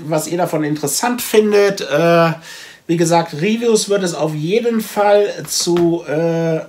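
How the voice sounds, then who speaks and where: A man in his forties talks casually to camera from a room at home. His voice sits a little higher than most men's. He rambles along, thinking out loud as he reviews his collection. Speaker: comic book vlogger. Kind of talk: casual chat to camera, reviewing a comic haul. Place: small room at home with packed shelves, indoors.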